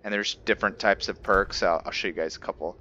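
A man giving casual, halting, explanatory commentary as he hosts a gameplay video. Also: deep voice, steady tone, sharp tone, muffled recording. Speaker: gaming video host. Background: video game ambience.

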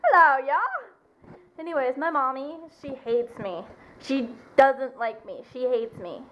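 A young woman with a fairly high voice jokes and teases her way through a casual home video. She sounds hyper and playful, recorded in a living room by a camera propped on a counter. Clicks and handling noise come through clearly. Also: animated, slow pace, a bit bright, poor audio. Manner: playful, goofy and hyper, teasing. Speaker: vlogger filming family. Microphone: camera propped on a counter nearby.

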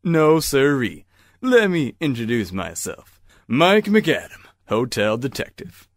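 A man's voice: mid-range voice, big pitch swings, slow pace, dry recording, heavily acted. A man playing a pompous, bumbling 1930s hotel detective in an audio drama, rattling off deductions. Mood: cocksure.

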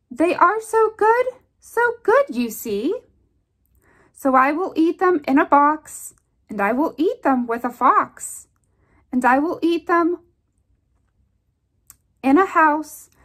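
A woman reading a rhyming picture book aloud for young children in an expressive, singsong, deliberate rhythm. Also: sits high. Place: indoors at a table.

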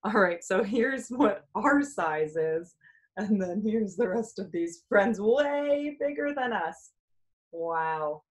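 A woman's voice: medium pitch, lively intonation, slow pace. A middle-aged American woman reading aloud to young pupils like a teacher, explaining as she goes with questions and small exclamations. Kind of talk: children's read-aloud with asides. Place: small room indoors.

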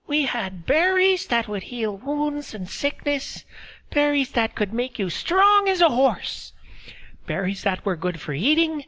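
A narrator voicing an old village woman who chatters about the old ways in a high, rambling voice. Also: big pitch swings, unhurried, bright, forceful tone, heavily acted, poor audio.

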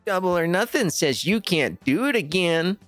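A woman's voice: on the low side, animated, normal speed, soft background music, natural voice. A woman playing a cocky young boy, an older brother, in an audio drama.

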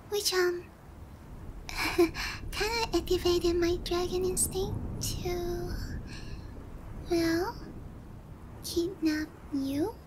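Very high-pitched anime dragon girl. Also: background noise, exaggerated voice. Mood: flustered, awkward. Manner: condescending, hesitant with giggles and murmured asides. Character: haughty, flustered, cutesy young anime dragon girl. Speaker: voice actor. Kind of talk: anime ASMR character roleplay.

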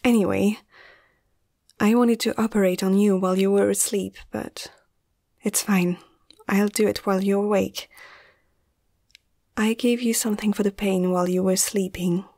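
A young woman playing a caring, slightly awestruck vet in a soft-spoken ASMR roleplay, gentle and hesitant, often slipping into a breathy half-whisper. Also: mid-range voice, fairly even intonation, moderate pace, pauses often, no echo, studio quality, close to an everyday voice. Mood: gentle, focused. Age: young adult.

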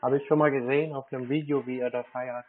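A man, teasing a phone scammer in a playful, deadpan way. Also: medium pitch, fast, phone-quality sound. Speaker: channel host baiting a phone scammer. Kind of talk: casual prank phone call.

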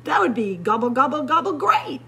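A woman's voice: high voice, big pitch swings, normal speed, a bit bright, voice pushed up, exaggerated voice. A woman voicing an eager, easily dismayed male turkey in a children's storybook, earnest and exclaiming. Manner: earnest, exclaiming, gobbling when upset. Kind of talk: children's storybook character reading.

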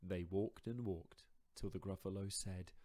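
A man tells a children's story as its narrator. He reads at a slow, measured pace, giving the scripted lines room. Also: low-pitched, fairly even intonation, mellow.

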